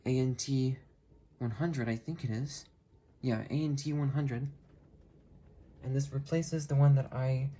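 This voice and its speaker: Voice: mid-range voice, dark tone, a little hiss or hum. A hobbyist giving a casual, unscripted show-and-tell indoors from behind a handheld camera, rambling and correcting themselves along the way. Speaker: hobbyist video creator. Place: indoors in a room at home. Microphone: handheld camera, speaker behind it.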